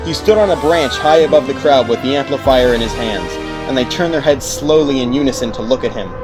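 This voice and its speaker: A man's voice: medium pitch, a little fast. Man narrating a scary story over music. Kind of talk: scripted horror story narration.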